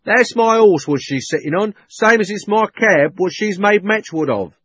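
A man's voice: high voice, lively intonation, moderate pace, fairly bright tone, slightly rough, dull audio, voice pushed up, full character voice. A man playing a red-faced cab driver, blunt and then coaxing in storybook reading. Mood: insistent. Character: red-faced London cab driver, gruff but kindly.

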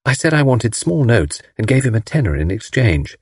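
A man voicing a lively, cheeky young woman who teases playfully. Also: medium pitch, normal speed, husky, no echo, studio quality. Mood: teasing. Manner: bright, playful, teasing.

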